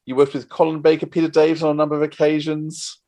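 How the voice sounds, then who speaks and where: A man's voice: fairly high pitch, completely flat delivery, fast, warm tone. A man hosting a conversational interview, chatty and rambling, with plenty of enthusiasm. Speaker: podcast interviewer.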